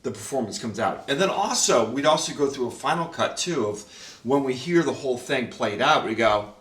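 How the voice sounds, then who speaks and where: A man's voice: mid-range voice, lively intonation, brisk, a bit bright. A man co-producing a radio play, chatting casually at a roundtable and animatedly acting out his own past remarks. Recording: echoey room, mic at a distance.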